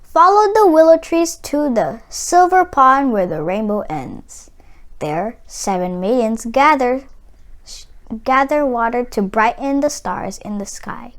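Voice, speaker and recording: A mysterious storybook magpie, voiced by a woman reader, speaks in a high, slow voice. It is solemn and gently commanding, with a tone that rises and falls freely. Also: lively intonation. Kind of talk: storybook read-aloud for children.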